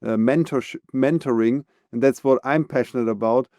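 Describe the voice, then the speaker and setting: Male podcast host; resonant, mellow voice, dry close mic, measured advising tone. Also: medium pitch, no echo. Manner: earnest, advising, measured with fillers. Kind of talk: casual interview conversation.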